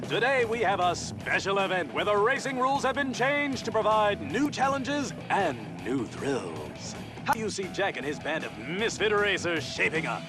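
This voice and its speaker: A man's voice: high-pitched, big pitch swings, normal speed. A man voicing a pompous veteran sports commentator in a video game, showy and over the top, stretching out his words. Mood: hyped. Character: pompous, bombastic veteran male racing show commentator. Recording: music under the voice. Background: music.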